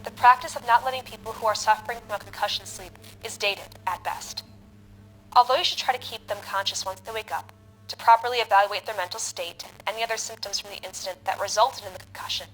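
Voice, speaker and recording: A woman playing a matter-of-fact medical broadcaster, giving measured, precise instruction in an audio drama. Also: mid-range voice, fairly even intonation, a bit bright, natural voice, poor audio. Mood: clinical, instructive. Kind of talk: scripted instructional radio broadcast.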